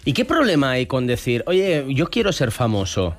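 A man from Spain hosting a casual panel chat, talking with emphatic, animated energy and laughing easily. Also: medium pitch, moderate pace, soft background music. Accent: Spain Spanish. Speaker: host.

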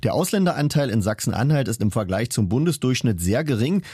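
A man's voice: mid-range voice, very animated, fast, darkish tone, slightly rough, dry recording, clean studio sound. A man reading a scripted news report as a reporter.

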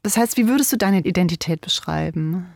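A woman hosting a radio culture interview, asking calm, measured questions. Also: lowish voice, gravelly, no echo, clean studio sound. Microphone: studio microphone.

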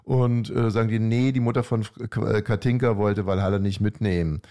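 Low-voiced man in casual chat. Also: steady tone, fairly quick, warm tone. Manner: hesitant, many fillers and restarts. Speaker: podcast co-host.

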